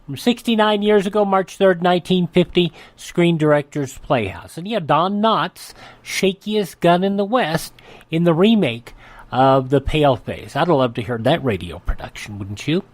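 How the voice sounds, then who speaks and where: A man's voice: a little high, moderate pace. An American man hosting a radio show, giving a conversational sign-off. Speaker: radio host.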